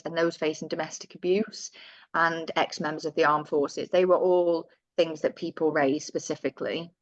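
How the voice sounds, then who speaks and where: A woman's voice: low-pitched, no room sound. A woman presenting survey findings in a webinar. She talks conversationally and corrects herself as she goes. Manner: conversational, with self-corrections and asides. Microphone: computer mic on a video call. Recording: dull, lo-fi webinar audio.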